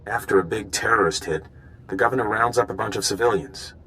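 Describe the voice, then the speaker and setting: A man's voice: fairly low pitch, fairly quick, pushed and bright, rough recording. An actor playing an earnest, scheming male undercover informant in a video game, speaking in an urgent, conspiratorial way. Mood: worried. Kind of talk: video game dialogue acting.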